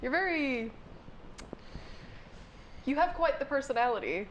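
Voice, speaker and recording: A woman playing a tabletop game, animated and chatty in her banter. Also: high voice, measured pace, muffled recording, a little hiss or hum. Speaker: player.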